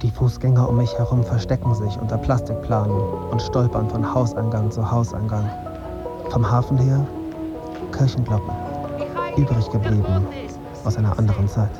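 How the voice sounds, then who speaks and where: An actor in a German radio drama playing an embittered young journalist, brooding and suspicious, with an intense, insistent manner. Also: male voice, on the low side, steady tone, moderate pace, muffled recording, loud music behind the voice, natural voice. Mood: brooding narration. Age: young adult. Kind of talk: radio drama acting with first-person narration.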